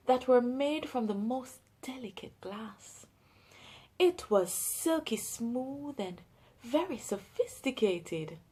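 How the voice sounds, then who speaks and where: A woman with a Jamaican accent, reading a children's story aloud with careful, deliberate enunciation. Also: fairly high pitch, darkish tone, husky.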